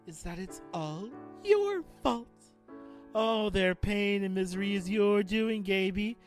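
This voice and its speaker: A man playing a sadistic, gleeful spirit in a fan audio drama, taunting theatrically with drawn-out mockery. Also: high voice. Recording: music under the voice.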